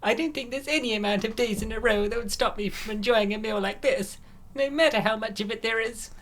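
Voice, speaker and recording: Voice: mid-range voice, fairly quick, fairly bright tone, close to an everyday voice. A performer voicing an insistent, food-loving young woman who is breezy and persuasive, in an amateur comic dub. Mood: breezy, coaxing.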